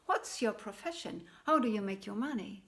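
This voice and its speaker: A woman's voice: mid-range voice, expressive, moderate pace, a bit bright. A woman voicing a curious king in a fairy tale, who keeps asking probing, doubtful questions. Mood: inquisitive.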